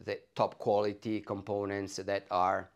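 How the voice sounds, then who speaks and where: Italian accent guy, middle aged, creaky low voice, explains tech stuff kinda haltingly. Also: monotone, highs cut off. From Italy. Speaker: loudspeaker designer being interviewed. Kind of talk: technical explanation in an interview. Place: carpeted home listening room, indoors. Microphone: clip-on lapel mic.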